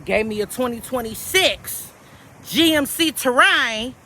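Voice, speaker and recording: Loud, high, animated woman vlogger. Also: unhurried, slight background noise. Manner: animated, slangy, swears freely, talks straight to viewers. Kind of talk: casual motivational vlog. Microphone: camera without microphone.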